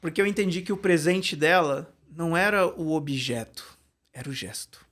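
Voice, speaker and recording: A man, a writing teacher telling stories in a casual podcast chat, animated and laughing often. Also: fairly high pitch, moderate pace. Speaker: Portuguese and creative writing teacher, guest.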